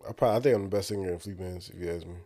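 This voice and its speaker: A deep-voiced man co-hosting a casual podcast, laid-back and jokey. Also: very fast. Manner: laid-back, jokey, lively swings in pitch. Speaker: podcast co-host. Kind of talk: casual banter.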